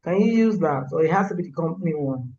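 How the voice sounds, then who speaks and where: High-voiced man on a noisy call. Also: expressive, darkish tone. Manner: polite, halting with self-corrections. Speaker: caller asking questions. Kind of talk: webinar question. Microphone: video call. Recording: dull call audio with faint noise.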